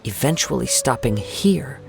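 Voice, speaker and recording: An actor playing a calm, thoughtful young wandering swordsman in a video game, speaking in a measured, formal way. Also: mid-range voice, creaky, over music.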